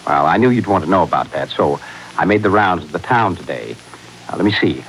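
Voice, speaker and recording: Fussy gangster underling, low voice, hesitant, vain, hissy vintage radio recording. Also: male voice, lively intonation, brisk, a bit bright, low-quality recording. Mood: fussy, uneasy. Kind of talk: 1940s radio crime acting.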